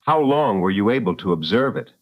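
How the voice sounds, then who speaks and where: A serious late-night radio host in an audio drama. His voice sits low, with measured, probing delivery and deliberate dramatic phrasing. The sound is a little dull at the top. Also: lively intonation. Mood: calm, probing.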